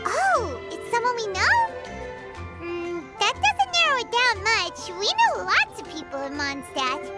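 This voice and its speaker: A woman voicing a small, bubbly, childlike fairy companion in a video game, with a squeaky high voice. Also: huge pitch swings, unhurried, over loud music, exaggerated voice. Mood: curious, puzzled. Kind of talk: animated video game character acting.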